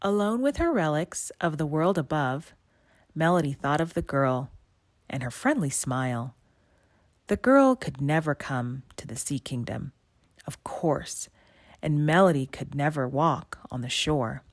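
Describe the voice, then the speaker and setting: A woman reads a storybook aloud with expression. Her voice is low and she takes her time over each phrase. The recording is dry, with no room sound. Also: lively intonation, a little slow, no room sound. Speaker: storybook reader. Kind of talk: expressive children's read-aloud narration.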